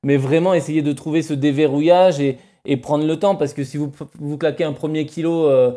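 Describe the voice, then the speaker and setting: A man's voice: on the high side, steady tone, brisk, mellow. A man giving practical running advice as a coach on a radio panel, with frequent fillers. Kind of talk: radio discussion.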